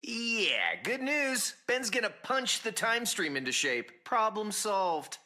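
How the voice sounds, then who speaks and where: A man's voice: high voice, very animated, slow pace, pushed and bright, heavily acted. A man playing a cocky young fire superhero in a video game, breezy and joking like a teasing brother. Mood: upbeat teasing. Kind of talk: video game hero banter.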